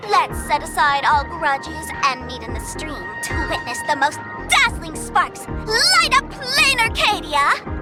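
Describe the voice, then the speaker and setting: A woman's voice: very high-pitched, full character voice. A woman voicing a bubbly, showy young livestream idol host in a game, with theatrical, sing-song delivery. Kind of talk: game voice acting, livestream presenter patter.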